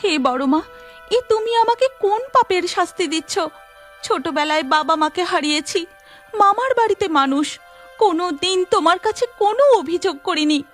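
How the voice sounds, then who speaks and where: A woman doing a high, pleading young wife. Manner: tearful pleading prayer. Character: gentle, devout young wife. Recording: music bed behind the voice.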